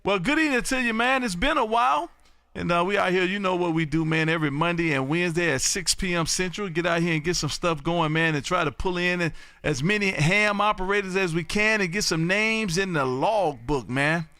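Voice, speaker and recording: Bright, high-pitched American man hosting ham radio. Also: a little fast, dry recording. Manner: repetitive, folksy patter, often says "man". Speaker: amateur radio operator and live stream host. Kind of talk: lively ham radio live stream chat.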